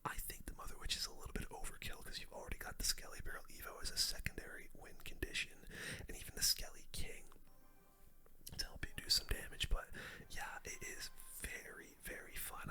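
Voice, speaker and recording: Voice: brisk, sharp tone. A game streamer whispering casual, slangy commentary in an ASMR style. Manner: whispering throughout; casual, slangy gamer talk. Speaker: gaming video host.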